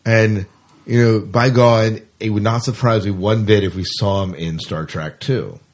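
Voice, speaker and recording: A man hosting a casual podcast chat, putting questions to guests in an informal, halting way. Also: lowish voice, fairly even intonation. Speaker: podcast host. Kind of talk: casual chat, asking guests about sci-fi news.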